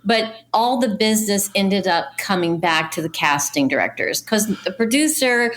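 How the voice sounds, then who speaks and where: A woman's voice: mid-range voice, expressive, moderate pace, fairly bright tone. Chatty middle-aged woman telling stories. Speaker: casting director telling industry stories as a guest. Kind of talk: casual conversational storytelling.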